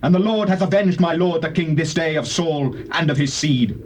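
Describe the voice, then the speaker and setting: A man's voice: on the high side, moderate pace, close to an everyday voice. An actor with a British accent playing a proud assassin addressing a king in a declamatory scripture drama. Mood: proud, eager. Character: proud male assassin presenting his deed to a king. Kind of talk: dramatized scripture, classical acting. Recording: faintly noisy old cassette recording.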